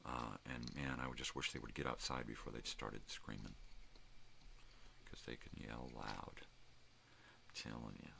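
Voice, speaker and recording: A man's voice: very deep voice, level tone, a little slow, vocal fry, pauses often, sharp tone. An older man with a folksy, rambling, salty way of talking, chatting casually as the host of a personal video log at home. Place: room at home. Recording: noticeable background noise.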